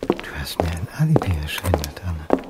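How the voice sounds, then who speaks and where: An actor playing a brooding middle-aged historian in custody in a crime radio play, husky and introspective, murmuring to himself. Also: male voice, sits low, sing-song, moderate pace, loud music behind the voice. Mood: anxious, brooding. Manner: introspective, murmured monologue dropping to a whisper.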